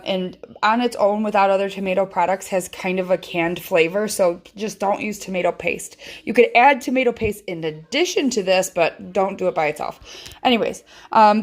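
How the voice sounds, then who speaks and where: A woman hosting a casual home cooking tutorial from her kitchen, rambling and chatty with lots of ums, filmed on a handheld phone. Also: medium pitch. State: feels her voice sounds off. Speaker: home cooking channel host.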